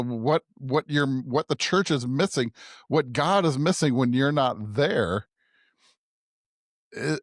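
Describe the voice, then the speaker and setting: The guy across the desk on a discussion podcast, working out ideas aloud in an informal way, medium-pitched and closely recorded. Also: no room sound, studio quality. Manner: informal, thinking aloud, often checks in with "right?". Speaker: podcast co-host. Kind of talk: casual conversational discussion.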